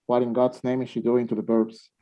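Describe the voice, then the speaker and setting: A man's voice: medium pitch, monotone, brisk, lightly acted. A man playing a baffled, sarcastic young student in a radio play, sounding incredulous. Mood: irritated. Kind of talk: amateur radio play acting. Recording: dull, muffled lo-fi microphone.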